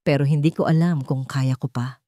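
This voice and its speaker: A woman's voice: very deep voice, fairly even intonation, normal speed, dark tone, no echo, studio quality. A woman narrating a dramatic first-person romance story.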